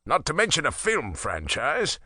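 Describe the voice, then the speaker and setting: A man's voice: fairly high pitch, huge pitch swings, normal speed, no room sound, clean studio sound, exaggerated voice. A man doing a boastful stubborn older father. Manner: boastful, loud.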